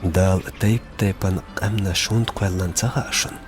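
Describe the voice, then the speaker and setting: Over clear background music, an actor plays a grave man in an animated drama. His voice is very low and he speaks slowly, in short, solemn phrases. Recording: voice under loud background music.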